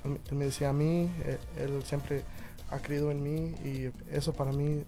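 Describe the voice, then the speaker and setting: A man in his twenties from Indianapolis, a band's lead singer, talking in an excited, slangy way in an interview. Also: fairly high pitch, moderate pace, over music. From Indianapolis, USA. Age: 20s. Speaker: band singer. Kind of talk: casual interview.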